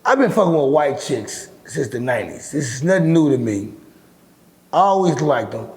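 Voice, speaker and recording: A middle-aged man with a deep, raspy voice, talking bluntly and with lots of swearing as an interview guest. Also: normal speed. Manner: blunt, profane, animated storytelling. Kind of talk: casual interview talk.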